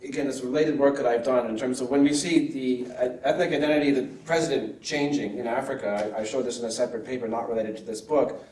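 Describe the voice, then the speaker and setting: A male academic answers audience questions at a measured, thoughtful pace, often adding a checking "right?". His voice is mellow and a little dark. It sounds distant from the mic, in a reverberant room. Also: medium pitch, fairly even intonation. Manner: thoughtful, frequent "right?" tags.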